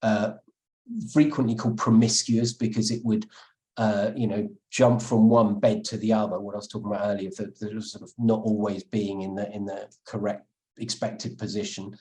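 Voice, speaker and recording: A man with a lowish voice answers an interviewer's questions about his book. He speaks thoughtfully, often stalling on fillers and restarting his sentences. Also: level tone, normal speed, mellow, rough recording. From England. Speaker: author interviewed as guest.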